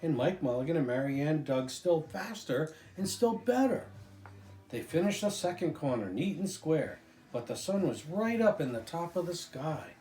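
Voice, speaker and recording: Older man, dramatic picture-book storyteller; soft-edged mellow tone, roomy echo, music behind. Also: fairly high pitch, normal speed. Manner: expressive, dramatic, with occasional stumbles. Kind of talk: animated children's picture book reading.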